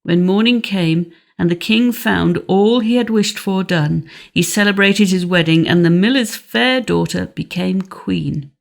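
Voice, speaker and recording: A woman's voice: lowish voice, vocal fry. A woman reading scripted narration as an audiobook narrator.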